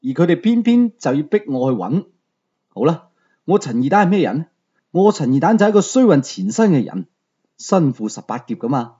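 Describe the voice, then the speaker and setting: Male audiobook narrator, Hong Kong Cantonese accent: mellow tone, animated delivery, conversational first-person storytelling. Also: on the high side, moderate pace, husky, lo-fi sound.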